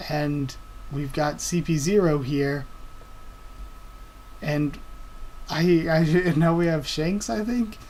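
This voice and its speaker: A fairly high-voiced young man, hosting a live manga reaction from home. He talks excitedly and thinks out loud, stumbling and restarting his sentences. A faint hiss sits under his voice. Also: takes it slowly, pauses often, poor audio.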